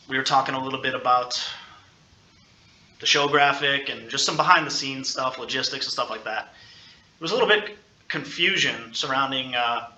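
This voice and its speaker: A man's voice: medium pitch, bright, forceful tone, muffled recording. A man hosting a school sports podcast in a casual interview, with a playful, teasing way of hyping up his guests. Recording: roomy, echoey sound.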